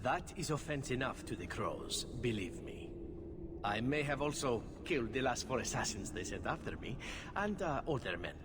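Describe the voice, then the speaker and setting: A man's voice: mid-range voice, sing-song, normal speed, close to an everyday voice. A man playing a suave, flirtatious elf assassin in a video game, with a playful, theatrical delivery. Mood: boastful, wry. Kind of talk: video game character acting. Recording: noisy ambience, long reverb.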